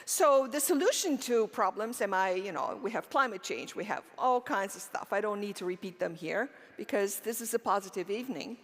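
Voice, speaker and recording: A woman's voice: medium pitch, lively intonation, fairly quick, few pauses. A middle-aged woman from Romania giving an earnest conference keynote, posing rhetorical questions to the audience and answering them herself. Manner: earnest, with frequent fillers and restarts. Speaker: keynote speaker. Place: conference stage in a large hall. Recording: long hall reverb on stage.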